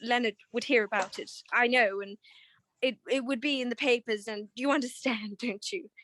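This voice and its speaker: A woman's voice: a little high, normal speed, a bit bright, dry recording, close to an everyday voice. A woman playing an anxious, genteel married client in a 1940s-style detective radio play, pleading with many stammered hesitations. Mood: flustered, pleading.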